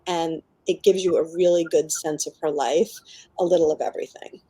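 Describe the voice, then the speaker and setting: A woman's voice: deep voice, steady tone, fairly quick, mellow, slightly rough, rough recording. A middle-aged American woman chatting informally as an author in an interview, often dropping "like" into her sentences. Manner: informal, peppers talk with "like". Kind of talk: casual chatty book interview.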